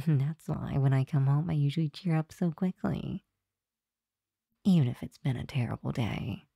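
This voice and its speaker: A woman playing a sweet, tired demon girlfriend in an intimate ASMR roleplay, talking softly and affectionately to the listener. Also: sits low, dry recording, clean studio sound. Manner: soft, affectionate, sighing, confiding. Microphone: close microphone, dry room.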